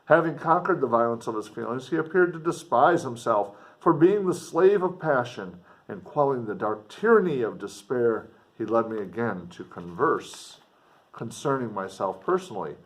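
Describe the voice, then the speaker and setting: A man with a mid-range voice reads literary prose aloud in a teacherly way. He stresses key phrases and draws them out, leaving dramatic pauses. His delivery is expressive and made for explaining as much as performing. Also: medium pitch, rough recording. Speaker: teacher reading a novel aloud with commentary.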